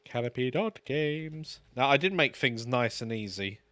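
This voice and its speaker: Man, mid pitch, easygoing, laughs often, live stream co-host. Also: expressive, unhurried, bright, forceful tone, a little hiss or hum. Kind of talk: casual live stream chat.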